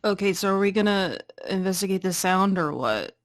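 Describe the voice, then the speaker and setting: A tabletop player with a halting, informal way of talking, casually role-playing and describing a character's actions. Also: mid-range voice, animated.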